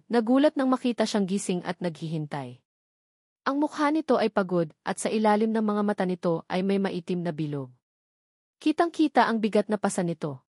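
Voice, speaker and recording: A woman's voice: mid-range voice, a little mellow, dry recording, clean studio sound. A woman with a Filipino accent narrating a scripted dramatic love story as an audiobook reader.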